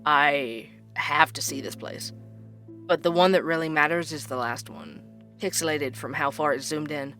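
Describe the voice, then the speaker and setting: An actor playing an inquisitive podcast host, narrating and interviewing in a measured, deliberate way with dramatic pauses. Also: male voice, fairly high pitch, bright, forceful tone, faint music behind. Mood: awed, intrigued.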